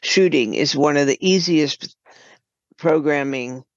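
A woman giving explanatory, halting answers as a guest in an unscripted interview. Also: low voice, no room sound, muffled recording. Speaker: podcast guest, writer and producer.

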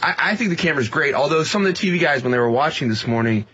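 A man chats casually on radio, explaining things quickly. His voice is husky and the sound slightly dull. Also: on the high side, expressive, a bit bright. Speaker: radio show staffer.

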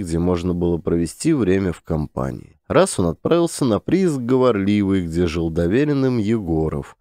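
A male narrator reads a classic story in a measured, storytelling manner. His voice is very low and dark, with a warm mellow tone, and the recording is dry and close with no room sound. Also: no room sound. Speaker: audiobook narrator.

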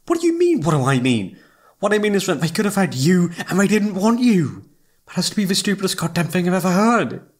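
A man's voice: high-pitched, breathy edge, full character voice. A man acting as an informal, emotive young best friend who is secretly in love, in a one-sided roleplay audio drama. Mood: indignant, adoring. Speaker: voice actor.

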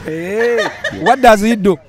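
Playful middle-aged male host, high voice, handheld mic outdoors on a noisy street. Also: takes it slowly, a crowd in the background. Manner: playful, teasing, laughs often. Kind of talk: street vox-pop quiz, tongue twisters. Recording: street noise behind.

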